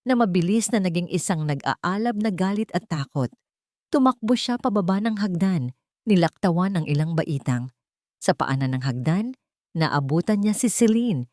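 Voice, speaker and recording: Lower-pitched woman narrating a dramatic story. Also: a little mellow, no echo, studio quality.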